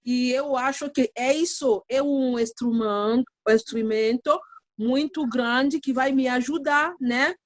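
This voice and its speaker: A woman's voice: high voice, unhurried, no room sound. Hesitant woman with a dry, close sound. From Democratic Republic of the Congo. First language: not Portuguese. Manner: hesitant, searching for words with fillers. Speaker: guest. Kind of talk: interview answer.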